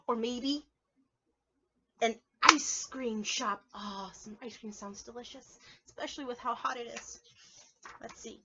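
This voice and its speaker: A woman's voice: a little high, a little slow, dull audio, background noise. A woman preschool teacher reading aloud and leading a drawing lesson for young children, playful and encouraging, often asking her listeners questions.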